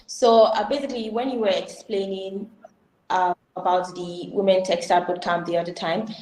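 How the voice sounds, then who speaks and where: A woman's voice: medium pitch, fairly even intonation, moderate pace, darkish tone. A Nigerian woman hosting a live online info session, welcoming viewers and reading out their comments. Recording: roomy echo.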